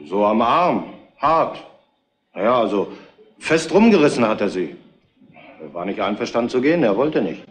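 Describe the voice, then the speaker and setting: An actor playing a matter-of-fact, gossipy roadhouse witness in a retro crime radio play. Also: male voice, medium pitch, very animated, normal speed, pauses often, close to an everyday voice.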